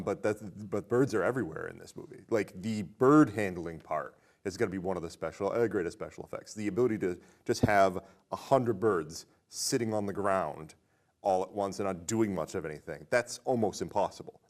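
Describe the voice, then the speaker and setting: A man's voice: deep voice, moderate pace. A man hosting a podcast, speaking casually in a conversational discussion of film. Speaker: podcast host.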